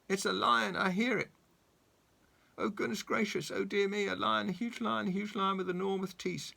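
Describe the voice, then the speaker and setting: Jittery little man who panics at everything, shrieking high and gravelly, as a grandfather puts him on. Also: fairly even intonation, moderate pace, bright, forceful tone, full character voice. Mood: panicked. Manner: shrieking, stammering, melodramatic.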